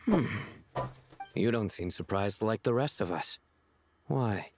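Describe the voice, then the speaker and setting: Game role: cool, questioning young man, lowish voice, measured, slightly noisy recording. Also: expressive. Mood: curious. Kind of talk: video game voice acting.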